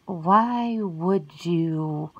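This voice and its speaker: A woman voicing a folksy, blunt, centuries-old werewolf homebody in an ASMR roleplay, suspicious and quick to exclaim. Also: lowish voice, husky, highs cut off, heavily acted. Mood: indignant, sassy.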